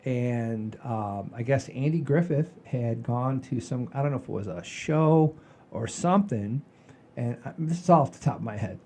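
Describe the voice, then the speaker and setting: Older guy podcast host, husky relaxed voice, rambly folksy storytelling. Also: mid-range voice, moderate pace. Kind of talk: casual chat and storytelling.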